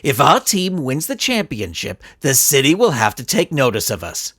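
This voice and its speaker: A man's voice: medium pitch, sing-song, moderate pace, fairly bright tone, breathy edge. A man playing a pompous, grandiose supervillain. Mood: scheming, grand. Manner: pompous, bombastic speechifying. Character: grandiose, scheming male supervillain with a skull head.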